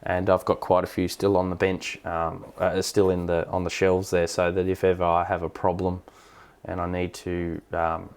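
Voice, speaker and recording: A man with an Australian accent and a low-pitched voice talks casually through technical questions. He explains as host of a live stream, often hesitating and thinking aloud before he carries on. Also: monotone, normal speed, a little mellow, smooth. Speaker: live stream host, amateur radio operator.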